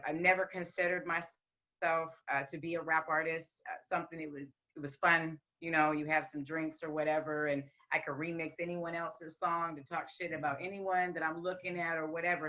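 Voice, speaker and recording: A woman with a deep, raspy voice, talking candidly as a podcast guest with frequent ums and restarts. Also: fairly even intonation, fairly quick, pushed and bright, highs cut off. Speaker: podcast guest, rapper and singer. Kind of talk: casual interview chat.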